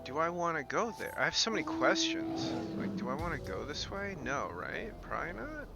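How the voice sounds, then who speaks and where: A man's voice: a little high, sing-song, normal speed, vocal fry. A man streaming a game with casual commentary, often thinking aloud in questions. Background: game music.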